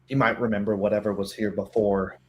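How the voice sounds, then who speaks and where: A man's voice: fairly low pitch, level tone, brisk, muffled recording. A man narrating a tabletop roleplaying game as its game master.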